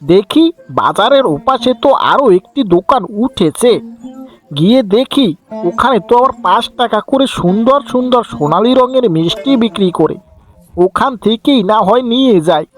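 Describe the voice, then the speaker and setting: A thrifty older uncle from a village cartoon, full of grumbles , voiced by a woman. The pitch swings freely as he haggles, with quiet music underneath. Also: animated, moderate pace, lightly acted. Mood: grumbling. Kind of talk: cartoon fairy tale acting.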